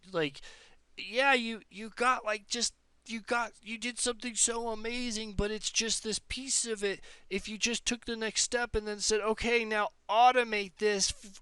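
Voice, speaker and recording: A man's voice: a little high, bright, forceful tone, smooth, no echo. A man giving a casual explanatory monologue as a tech presenter, enthusiastic and emphatic, often repeating phrases to drive points home. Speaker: presenter of a tech demo video.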